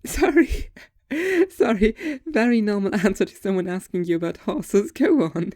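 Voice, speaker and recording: A woman's voice: medium pitch, lively intonation, a little slow, a little mellow, no room sound, studio quality. A young woman playing a blunt, teasing waitress in audio fiction, with sarcastic, slangy delivery. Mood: amused. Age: young adult.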